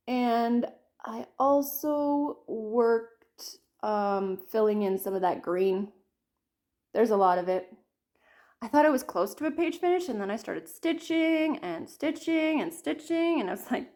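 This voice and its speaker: A woman in her thirties chatting casually about her cross-stitch projects, her voice a little croaky from a cold, rambling and apologizing often. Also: mid-range voice, a little mellow. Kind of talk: casual chat to camera.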